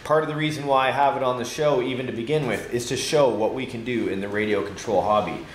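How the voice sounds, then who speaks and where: A man's voice: mid-range voice, fairly bright tone, slight background noise. A man hosting a casual unboxing video, chatty and full of fillers, laughing at his own slips. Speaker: hobby video host. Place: workshop studio with tool cabinets. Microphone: handheld camera mic, host mostly off screen.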